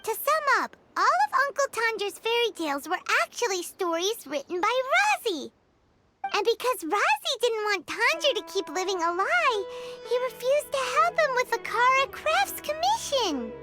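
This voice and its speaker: A tiny childlike fairy sidekick from a video game. The voice is extremely high and squeaky, swinging wildly with exclamations, over clear music. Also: female voice, very animated, normal speed. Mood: excited, triumphant. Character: tiny excitable childlike fairy companion, talks in third person. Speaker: voice actor in a game. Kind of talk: animated video game story dialogue.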